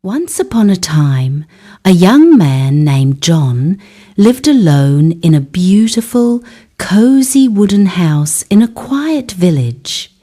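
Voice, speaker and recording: Slow, mellow woman telling bedtime stories. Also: on the low side, very animated. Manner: gentle, unhurried, simple short phrases. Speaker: children's bedtime storyteller. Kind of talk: scripted fairy-tale narration for young children.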